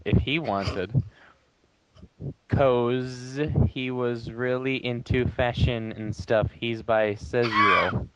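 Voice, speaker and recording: A man bantering casually and reading fan fiction aloud in a deliberate, wry way. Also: mid-range voice, fairly even intonation, a bit bright, slight background noise, rough recording. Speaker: player in a dramatic group reading game.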